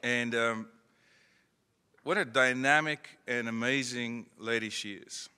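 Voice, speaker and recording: A South African man speaks to an audience from a stage, chatty and self-deprecating, and now and then he acts out bits of dialogue. His voice is bright and pushed forward. It rings with the long reverb of a large hall. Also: mid-range voice, slow, clean tone. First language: not English. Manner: conversational, self-deprecating, acts out phone calls. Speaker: keynote speaker. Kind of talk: motivational speech to an audience. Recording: echoey hall.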